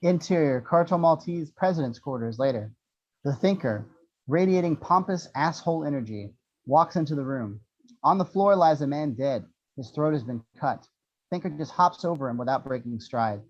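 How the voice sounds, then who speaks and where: A man's voice: on the high side, expressive, normal speed, dull audio. A man narrating the action descriptions of a screenplay at a table read, in a scripted storytelling style.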